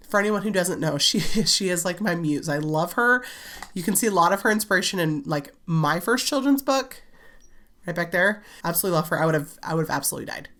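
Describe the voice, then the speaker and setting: A woman tells a story to her channel in a loose, chatty way, giggling and leaning on filler words. Her voice sits a little low and carries a slight stuffiness from a fading cold. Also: a bit bright. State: slightly congested, recovering from a cold. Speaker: children's book author and channel host. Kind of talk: casual storytelling vlog.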